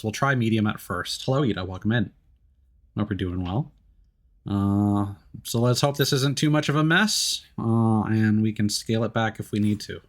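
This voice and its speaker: A man livestreaming a video game with casual, chatty and informal commentary, talking to his viewers. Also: on the low side.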